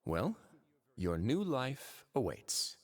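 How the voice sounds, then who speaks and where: An actor playing a composed, courteous and sardonic duke who runs a prison, in a video game. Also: male voice, medium pitch, wildly swooping pitch, drawn-out words, exaggerated voice. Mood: wry, courteous. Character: composed, wry male duke running a prison.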